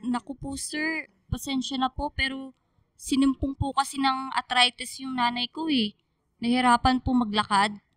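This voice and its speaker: Filipino teen girl, touchy and nervous, high sharp voice, radio drama. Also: flat delivery, normal speed, no room sound. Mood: meek, nervous. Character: anxious, testy teenage schoolgirl, emotional daughter.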